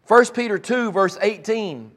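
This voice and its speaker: Male pastor, high and raspy, fervent, with repeated emphatic lines. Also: animated, unhurried, a bit bright. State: raspy voice from cheering and allergies. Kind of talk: preaching a sermon.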